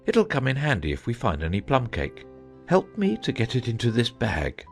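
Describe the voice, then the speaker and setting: A man playing an eccentric, earnest, bumbling old knight in a dramatized audiobook, with a halting, fussy delivery. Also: mid-range voice, huge pitch swings, creaky, soft background music. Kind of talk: dramatized audiobook character acting.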